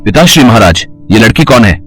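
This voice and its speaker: A man's voice: lowish voice, very animated, fast talker, fairly bright tone, soft background music. A man playing a respectful young prince in a moral storybook tale. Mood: puzzled. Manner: formal, respectful. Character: young prince, respectful son, newly awakened. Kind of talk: Hindi moral storybook acting.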